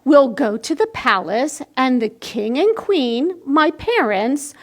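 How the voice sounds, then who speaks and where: A woman's voice: sits high, animated, slow. A woman voicing a haughty, insistent young male frog prince in a children's storybook. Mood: haughty, lecturing. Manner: insistent, prim.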